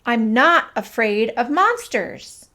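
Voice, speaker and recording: A woman voicing a small, fierce, boastful male mouse in a children's storybook, loud and emphatic. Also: a little high, big pitch swings, takes it slowly, heavily acted. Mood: proud, boastful. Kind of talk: children's storybook read-aloud.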